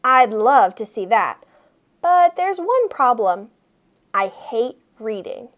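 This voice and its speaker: A woman voicing a cheeky, exasperated schoolboy narrator from a children's storybook, with emphatic, expressive delivery. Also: a little high, takes it slowly. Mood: grumbling, cheeky. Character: reluctant schoolboy narrator who hates reading, cheeky, exasperated.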